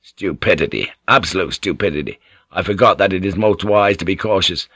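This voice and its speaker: A man's voice: sits low, fairly quick, pushed and bright, husky, no echo, heavily acted. A man playing an earnest, gallant male lover. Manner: earnest, archaic, formal phrasing.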